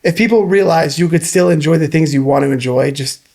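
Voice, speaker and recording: Thirties male podcast guest, slightly high voice, lively and exasperated, casual slang. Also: brisk. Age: 30s. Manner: animated, exasperated, says dude and homie. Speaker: nutritionist guest.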